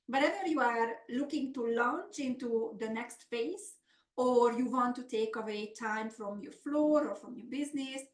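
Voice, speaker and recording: Middle-aged hungarian woman running a business webinar, kinda high voice, echoey room. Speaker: business coach hosting a webinar. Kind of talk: semi-scripted webinar presentation.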